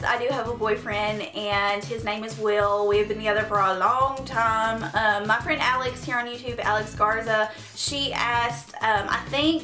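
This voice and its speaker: A talkative young woman with a Tennessee Southern accent answers viewer questions in a relaxed vlog. Her voice sits a little high. The room is echoey, and music plays behind her. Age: 20s. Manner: chatty, earnest, frequent "um" and "like". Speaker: beauty vlogger. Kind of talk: casual Q&A vlog.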